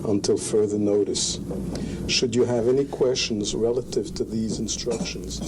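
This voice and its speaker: A man with a slightly low voice speaks haltingly for an interview. The 1990s documentary location recording is clearly noisy. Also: steady tone. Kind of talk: halting reading and interview talk.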